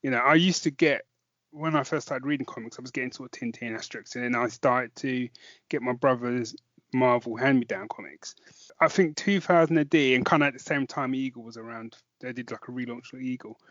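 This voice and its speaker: A British man in middle age talks as a podcast co-host, informal and inclined to ramble. His pitch is mid-range. The sound is dry and close, with a slightly dull top end. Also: fairly quick, a bit bright, no room sound. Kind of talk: casual chat podcast review.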